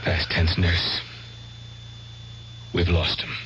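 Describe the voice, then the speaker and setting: An actor playing a grave, weary surgeon in a radio drama, speaking tersely and somberly. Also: male voice, deep voice, monotone, drawn-out words, fairly bright tone, heavily acted. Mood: somber, resigned. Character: grave, weary male surgeon in an operating room. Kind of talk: 1970s radio drama acting. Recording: dull, noisy old radio recording.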